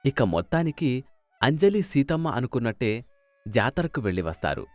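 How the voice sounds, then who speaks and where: Male narrator, medium pitch, animated intonation, scripted storytelling delivery. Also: moderate pace, faint music behind.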